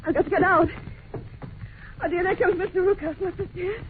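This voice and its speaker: A woman's voice: extremely high pitch, brisk, phone-quality sound. A woman playing a polite, anxious and curious young governess in an old-time radio drama, telling things earnestly and expressively. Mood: panicked. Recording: noisy, thin old radio recording.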